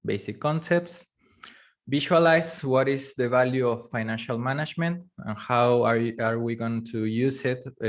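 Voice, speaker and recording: Slow, halting male instructor, Spanish native. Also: mid-range voice. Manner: halting, many fillers and restarts. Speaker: course instructor. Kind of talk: live online class lecture.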